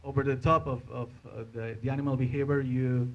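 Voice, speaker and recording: A man in his thirties with a Spanish accent gives an informal conference talk as an animator, speaking halting non-native English into a handheld microphone. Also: mid-range voice, little pitch movement, dull audio. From Spain. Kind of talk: informal presentation to an audience. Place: indoor hotel conference room.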